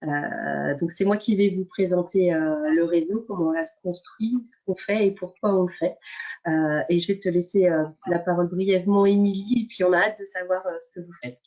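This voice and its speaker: A middle-aged woman making an unscripted introduction as an association director, in hesitant, halting phrases. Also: lowish voice, fairly even intonation, darkish tone. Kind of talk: unscripted presentation. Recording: dull, muffled lo-fi audio.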